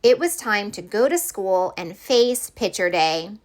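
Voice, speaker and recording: A woman reading a children's picture book aloud as storyteller, with expressive, deliberate phrasing for young listeners. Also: medium pitch.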